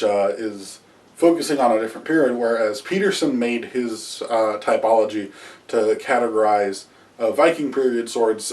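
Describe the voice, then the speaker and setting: A man in his thirties giving an unscripted history lecture to camera at home, pausing often with "uh" and restarting phrases. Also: fairly low pitch, darkish tone, distant mic. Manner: halting, many "uh" fillers and restarts, gestures with both hands. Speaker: history video host. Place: small room at home with wall hangings and shields. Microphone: camera a few feet away.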